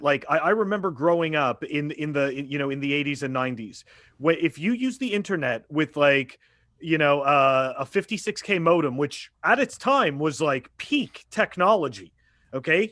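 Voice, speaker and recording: A man in his forties talking on a podcast, halting and full of fillers like "you know" and "okay?". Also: fairly high pitch, a bit bright, no room sound. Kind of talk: casual podcast discussion.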